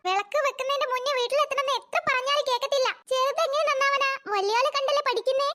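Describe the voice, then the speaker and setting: A performer voicing a stern, nagging cartoon mother who scolds children, in a pitched-up voice. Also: steady tone, quick pace, sharp tone. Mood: scolding. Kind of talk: cartoon voice acting.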